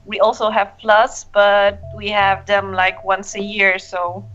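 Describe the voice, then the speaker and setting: A woman's voice: mid-range voice, completely flat delivery, slow, a bit bright, dull audio, soft background music. A young woman from Poland chatting on a podcast, speaking hesitantly. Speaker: podcast co-host. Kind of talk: casual podcast chat.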